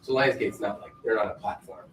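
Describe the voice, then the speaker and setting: A man as an executive guest in a casual interview, explaining in a measured, businesslike way with a loud voice. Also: lowish voice, steady tone. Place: on a film set, outdoors. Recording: echoey, distant mic with clear background noise.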